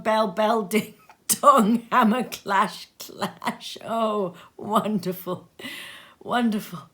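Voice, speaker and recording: Woman reading aloud slowly, bright voice. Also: on the low side. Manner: unhurried, expressive storytelling. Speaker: reader. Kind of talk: reading a classic novel aloud. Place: home sitting room. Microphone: home recording.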